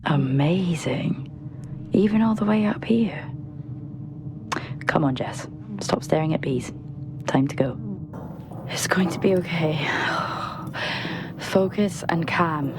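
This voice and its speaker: A woman acting a wary, determined explorer in a video game, measured and understated, often murmuring. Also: low voice, whispered. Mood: awed, self-steadying.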